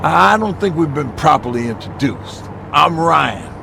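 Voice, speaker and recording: Level-headed squad leader in a game, gravelly, a bit high, noisy background. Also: male voice, lively intonation, a little slow, exaggerated voice. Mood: composed. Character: steady, practical male leader of survivor band. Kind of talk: video game voice acting. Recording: vehicle and outdoor noise behind.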